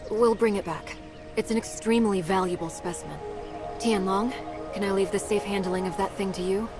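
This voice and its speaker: A woman plays a composed, commanding leader from a game cutscene, mid-pitched and crisp as she gives orders. Loud music sits behind her voice. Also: steady tone, a little fast, lightly acted. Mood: authoritative. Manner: crisp, authoritative. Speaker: game character. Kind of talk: video game voice acting.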